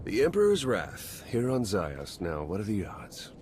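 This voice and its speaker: An actor playing a wry, weary spy in a video game, talking in a casual, clipped, sardonic way. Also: male voice, on the low side, huge pitch swings, a little slow, darkish tone, soft background music. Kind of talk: video game cutscene acting.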